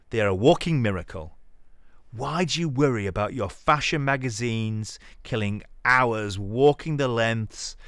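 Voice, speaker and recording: A man giving a dramatic reading of a sermon, theatrical and exhorting, addressing the listener directly with deliberate pauses. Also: fairly low pitch, fairly bright tone, dry recording. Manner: direct rhetorical questioning of the listener. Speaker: podcast host reading scripture.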